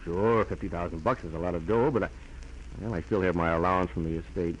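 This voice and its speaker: An actor playing a self-doubting, lovestruck younger brother in a 1940s American radio drama, speaking hesitantly with stammers. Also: male voice, low voice, fast, lo-fi sound, natural voice. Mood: dejected, confessing. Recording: noisy old 1940s radio recording.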